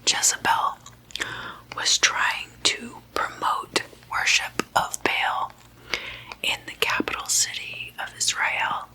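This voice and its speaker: Slow whispering ASMR woman. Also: sharp tone, low-quality recording. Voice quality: breathy unvoiced whisper. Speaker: ASMR video host. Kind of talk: ASMR, whispered informal talk.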